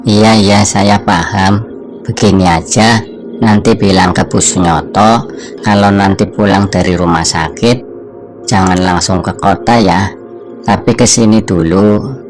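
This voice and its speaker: A calm, reassuring village cleric in a cartoon. His voice sits fairly low. Steady music plays behind him. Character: calm middle-aged male village cleric. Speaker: religious teacher. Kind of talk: cartoon voice acting. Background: background music.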